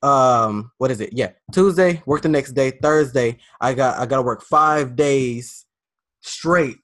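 A young man co-hosting a casual podcast, talking in slangy, rambling, animated bursts. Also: mid-range voice, measured pace, dry recording, clean studio sound. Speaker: podcast co-host. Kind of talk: casual chat.

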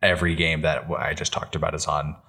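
A man's voice: very deep voice, level tone, quick pace, gravelly, sharp tone. A man co-hosting a podcast in casual chat, talking in a rambling, hesitant way. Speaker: podcast co-host. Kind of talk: casual chat about Star Wars games and shows.

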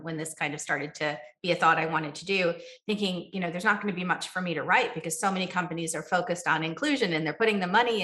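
A woman's voice: lowish voice, pushed and bright. A woman author answering questions in a virtual talk, earnest and reflective, with fillers and restarts. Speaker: author and guest speaker.

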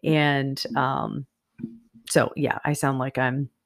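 A woman's voice: very deep voice, flat delivery, takes it slowly. A woman hosting a casual solo podcast, chatty and rambling, her voice stuffy and nasal from a sinus infection. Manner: chatty, rambling, many fillers. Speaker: podcast host. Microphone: new USB microphone.

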